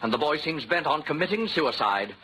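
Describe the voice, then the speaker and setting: A man's voice: fairly high pitch, expressive, brisk, sharp tone, breathy edge, exaggerated voice. A man playing a fussy, flustered, formal insurance company manager in a 1940s radio drama, speaking with prim, agitated emphasis. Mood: fussy, worried. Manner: prim, agitated, emphatic, old-fashioned phrasing. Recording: noisy vintage 1940s radio recording.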